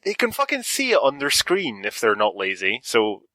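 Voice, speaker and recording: A man's voice: mid-range voice, huge pitch swings, normal speed, bright, forceful tone, dry recording. A Scottish man co-hosting a group reading, chatting and bantering casually. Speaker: co-host of a group reading show.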